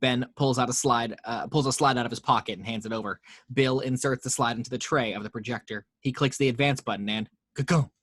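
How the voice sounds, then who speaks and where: A man's voice: medium pitch, fairly even intonation, a little fast, a bit bright, no room sound. A man reading the action lines of a screenplay aloud at a home table read, steadily, voicing the sound effects. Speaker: narrator reading action description.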